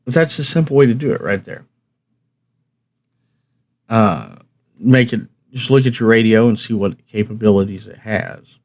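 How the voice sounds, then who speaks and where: A man's voice: lowish voice, normal speed, pauses often, a little mellow. A man hosting a review channel, chatting casually and instructively to viewers in a rambling, folksy, plain-spoken way. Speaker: host of a radio review channel.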